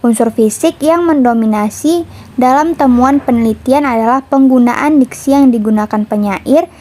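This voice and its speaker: A woman's voice: fairly high pitch, dark tone. A woman, reading a prepared lesson on literary analysis aloud and stopping to read out each citation. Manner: reads citations aloud steadily. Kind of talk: reading a lecture script.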